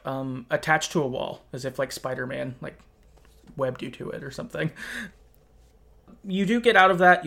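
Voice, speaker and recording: A man with a voice a little higher than most hosts a casual discussion podcast. He tells things in an informal, conversational way, like someone recapping a game for friends. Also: sharp tone. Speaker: podcast host.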